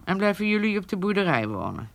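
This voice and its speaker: A woman's voice: medium pitch, big pitch swings, fast talker, gravelly. An actress playing a proud, unforgiving old woman in a radio drama, with a curt, cutting manner. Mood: dry, firm. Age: older. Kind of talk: naturalistic radio drama dialogue.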